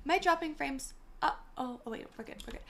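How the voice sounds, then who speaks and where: A young woman in her twenties chatting casually on a gaming live stream, her voice slightly hoarse and worn, talking from a small room into a mic set far from her with the gain turned up. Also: sits high, animated, quick pace, a bit bright. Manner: chatty, apologetic, greets viewers by name. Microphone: desk mic set far from her, gain turned up.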